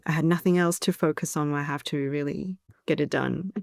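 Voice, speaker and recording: A woman in her thirties from Taiwan, an English speaker, talking in a soft-spoken, thoughtful way as an interview guest. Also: deep voice, brisk, vocal fry, no echo, studio quality. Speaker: singer-songwriter guest. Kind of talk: relaxed interview chat.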